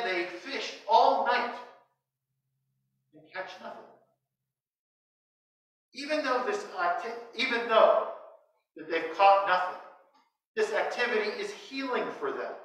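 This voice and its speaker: An American man in his sixties preaching a sermon as a priest, in measured short phrases with pauses, speaking through a face mask inside a church. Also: high voice, bright, forceful tone. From United States. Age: 60s. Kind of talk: sermon read from notes. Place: inside a church, standing before the altar. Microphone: distant microphone, speaking through a face mask. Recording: very echoey church, distant mic.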